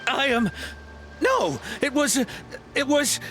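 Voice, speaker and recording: A man's voice: very high voice, sing-song, slow pace, sharp tone, soft background music, exaggerated voice. A man playing a cowardly, flustered hired poacher in a video game, with a nervous, stammering delivery. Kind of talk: video game character acting.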